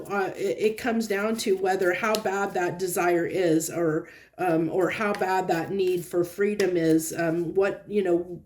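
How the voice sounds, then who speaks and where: A woman's voice: lowish voice, a little mellow, a little hiss or hum. A woman with a congested, sniffly voice giving a casual tarot reading to camera. She meanders and restarts as she searches for words. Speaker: tarot reader.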